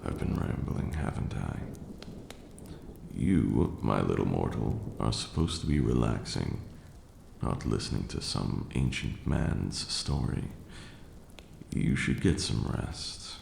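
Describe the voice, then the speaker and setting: A man's voice: animated, slow pace, a little mellow, roomy sound, a little hiss or hum, full character voice. A man playing an ancient, proud and protective vampire lord in an ASMR roleplay, speaking formally and dramatically. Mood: gentle, hushed. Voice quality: very deep, gravelly, breathy at times.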